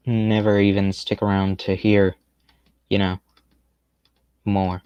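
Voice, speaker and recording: A man with a lowish voice drifts through relaxed gameplay commentary in a fairly monotone, rambling way, gently poking fun at himself. The sound is close and dry, with no room around it. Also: slow, clear, resonant tone, no room sound, highs cut off. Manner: rambling, laid-back, self-deprecating. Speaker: game streamer.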